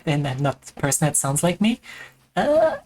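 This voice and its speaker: Woman, German accent, low voice, chatty gaming streamer, rambling, self-mocking. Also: sing-song, moderate pace, darkish tone, breathy edge. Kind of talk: casual gaming chat.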